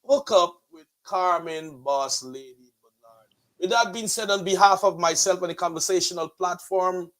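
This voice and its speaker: Guyanese guy hosting motivational talk, high voice, emphatic and flowery like a pastor. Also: moderate pace, fairly bright tone. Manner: emphatic, flowery, rhetorical repetition. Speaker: host and pastor of a motivational online show.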